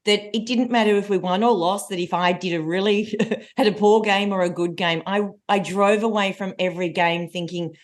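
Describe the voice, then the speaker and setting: A woman in her forties with a loud voice, a coach telling stories as a guest in a casual podcast conversation. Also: medium pitch, normal speed. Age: 40s. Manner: energetic, animated storytelling with laughs.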